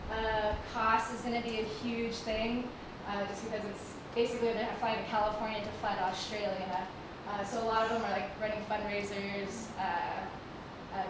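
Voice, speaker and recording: A woman giving a casual live talk to an audience, chatty and full of filler words. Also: a little high. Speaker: guest presenter. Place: stage in a performing arts hall. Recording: echoey hall from far off, noisy. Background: audible background noise.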